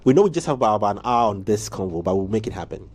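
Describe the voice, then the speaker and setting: A man hosting a morning studio interview, telling stories in a lively way with laughs. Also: lowish voice, racing, a little hiss or hum. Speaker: morning show host.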